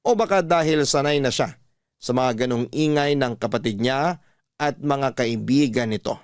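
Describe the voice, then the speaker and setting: A man's voice: medium pitch, moderate pace, no echo. Dry-sounding Filipino male story narrator. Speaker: radio-style story narrator. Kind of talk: first-person dramatic storytelling, reading a letter. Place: dry studio, music behind.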